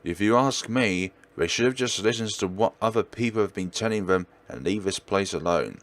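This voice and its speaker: A man's voice: fairly low pitch, moderate pace, a bit bright. A man hosting a let's play, reading a visual novel aloud in a theatrical way and sometimes stumbling and rereading words. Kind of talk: dramatic read-aloud narration of a visual novel.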